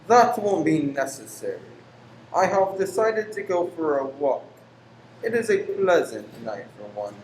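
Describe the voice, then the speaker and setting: An actor in a radio play voicing a sinister, pious lodger with a formal, stiff and courteous way of speaking. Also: male voice, a little high, big pitch swings, measured pace, darkish tone. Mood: curt, formal. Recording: roomy echo, faint noise.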